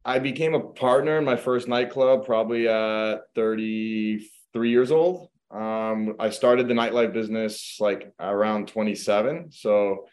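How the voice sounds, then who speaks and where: A man's voice: lowish voice, a little slow. A middle-aged man raised in the United States, a real estate agent guest telling his background casually with many fillers over a video call. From Croatia, raised in the United States. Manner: relaxed storytelling, many fillers like um and you know. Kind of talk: casual interview.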